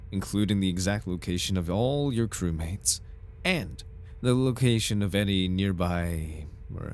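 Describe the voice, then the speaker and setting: A man's voice: on the low side, a little mellow, natural voice. A man playing a suave, over-polite corporate recruiter in an ASMR roleplay, with a formal, unctuous salesman's patter. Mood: reassuring, smooth. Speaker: voice actor in an audio roleplay. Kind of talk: ASMR roleplay, scripted in-character monologue.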